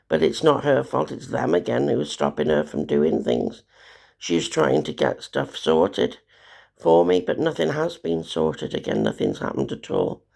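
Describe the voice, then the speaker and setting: A woman, hoarse from a cold, talking in a despairing, rambling way about her troubles. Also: a bass voice, a little mellow. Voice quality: gravelly. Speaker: vlogger. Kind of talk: rambling personal monologue.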